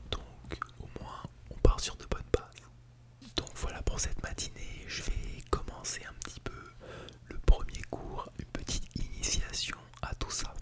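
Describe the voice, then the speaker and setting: ASMR performer for a classroom role-play: fully whispered, breathy, gently instructive with light playfulness, close-miked in binaural. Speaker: ASMR artist role-playing as a teacher at an ASMR school. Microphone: close Blue Yeti microphone in binaural mode.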